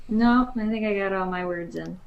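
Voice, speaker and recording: A woman's voice: medium pitch, normal speed, gravelly, highs cut off. A woman chatting casually as a participant in a group discussion. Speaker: panel participant.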